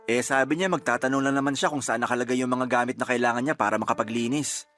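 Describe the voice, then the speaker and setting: A man's voice: mid-range voice, fairly even intonation, fast talker, fairly bright tone, soft background music, natural voice. A man playing a reproachful, protective boyfriend in a Filipino radio drama, earnest and reproving, with a Filipino accent. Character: reproachful boyfriend, protective of his younger sister.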